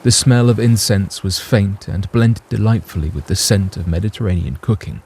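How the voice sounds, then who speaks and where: A man's voice: on the low side, moderate pace, darkish tone, a little hiss or hum. A man narrating a scripted story in a literary, dramatic manner.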